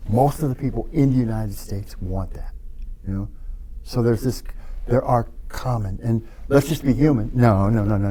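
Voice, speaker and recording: A man with a mellow, dark-toned voice talks slowly and thoughtfully as a podcast guest. He restarts sentences and repeats words while working through an idea. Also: on the low side, a little hiss or hum, poor audio. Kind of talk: reflective conversation. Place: studio room.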